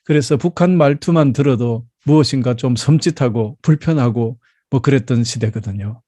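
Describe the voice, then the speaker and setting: A South Korean man in his fifties delivers a sermon with a soft, mellow tone at an average male pitch. He tells stories from his own life in a measured, explanatory manner, recorded close and dry with little room sound. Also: dry recording. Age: 50s. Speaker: pastor. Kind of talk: Sunday sermon with personal storytelling.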